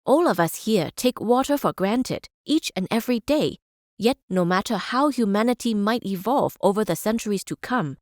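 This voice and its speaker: An actress playing a measured, idealistic chancellor and scholar, speaking formally and deliberately in scripted game speeches. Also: female voice, medium pitch, a little mellow, no room sound, studio quality, close to an everyday voice. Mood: earnest.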